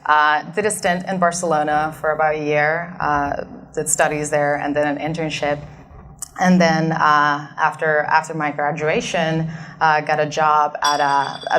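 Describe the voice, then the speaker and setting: A Finnish woman in her thirties with a low, settled voice speaks as a guest at an onstage fireside chat. She is relaxed and reflective, and she pauses with fillers and restarts as she gathers her thoughts. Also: slight background noise. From Finland. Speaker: guest speaker, design manager. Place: event stage in front of an audience.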